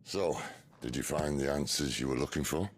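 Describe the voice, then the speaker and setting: A man voicing a worldly, wry middle-aged rebel leader in a video game, gravelly and philosophical, with roguish warmth. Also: a bass voice, moderate pace, a little hiss or hum. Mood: wry, reflective. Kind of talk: dramatic video game acting. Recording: music under the voice.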